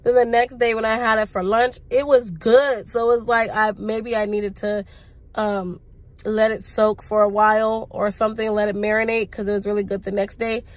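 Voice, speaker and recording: A woman's voice: medium pitch, little pitch movement, normal speed, no echo. A young woman talks into a phone held at arm's length inside her parked car. Her voice is congested from a cold. She rambles in a chatty, casual way, full of slang. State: sick, congested voice. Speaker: vlogger. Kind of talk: casual chat to camera. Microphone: phone camera at arm's length.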